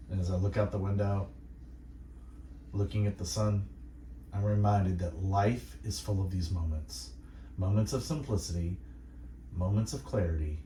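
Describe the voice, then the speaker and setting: A man hosting radio, delivering reflective, encouraging monologues in a measured, earnest way with deliberate pauses. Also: lowish voice, mellow, roomy sound, recorded from a distance, slight background noise. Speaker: radio host and station manager.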